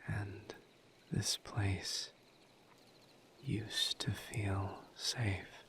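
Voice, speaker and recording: Casting: male actor as gentle misery demon for audio roleplay; low, breathy whisper, extremely slow and soothing. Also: flat delivery. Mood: gentle, consoling. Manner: slow, halting, soothing, with long pauses.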